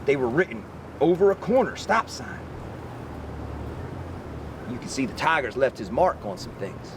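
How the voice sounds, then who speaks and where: A man reading a children's picture book aloud as an expressive narrator. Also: mid-range voice, normal speed, noisy background. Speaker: story reader.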